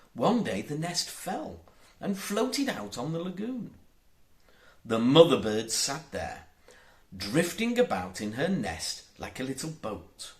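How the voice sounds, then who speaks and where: A man narrating a children's storybook in a measured, deliberate and expressive way. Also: medium pitch. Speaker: audiobook narrator.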